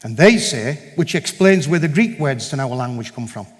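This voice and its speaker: A man's voice: medium pitch, expressive, moderate pace. A man giving a conversational, storytelling talk to a church congregation, with many digressions and quoted exchanges. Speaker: guest speaker at a church meeting. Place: large church hall. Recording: long reverb of a large hall.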